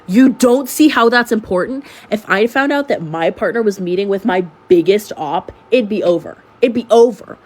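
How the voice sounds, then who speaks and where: A young woman sounds stuffed-up from a cold. She tells her story in an animated, sarcastic way and leans into the drama. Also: mid-range voice, moderate pace, few pauses, slight background noise. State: sick, congested. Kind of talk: storytime vlog.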